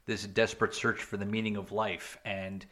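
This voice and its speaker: Chatty bright-voiced man. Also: fairly low pitch, level tone, moderate pace. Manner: rambling, many fillers and restarts, jokey. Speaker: podcast co-host. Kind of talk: casual chat.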